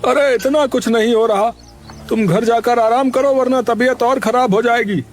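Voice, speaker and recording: A man's voice: very high voice, steady tone, moderate pace, faint music behind. A man voicing a caring, bossy uncle in a cartoon story, fussing and repeating "arre" again and again. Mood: worried. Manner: fussy, repeating "arre" over and over. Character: caring middle-aged uncle, worried and bossy. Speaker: uncle of the boy. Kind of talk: cartoon voice acting.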